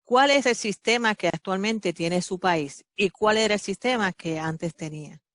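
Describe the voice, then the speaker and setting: A woman hosting a Spanish-language interview, reading a measured, earnest introduction and asking questions. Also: medium pitch. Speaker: host and interviewer. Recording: music playing behind the voice.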